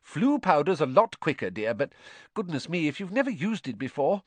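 A fretful, fussing middle-aged witch mother, put on by a male reader, her voice pitched well up and lilting as she coaxes and frets. The sound is close and dry, with no room around it, for a storybook reading. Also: very animated, moderate pace, a little mellow, no echo. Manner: fretful, coaxing, high and lilting.

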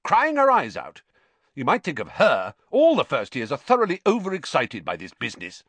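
Man acting a pompous, scolding teenage boy, animated. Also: on the high side, moderate pace, slightly rough, dry recording, voice pushed up, full character voice. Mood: indignant. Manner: officious, indignant, terse. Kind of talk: audiobook character acting.

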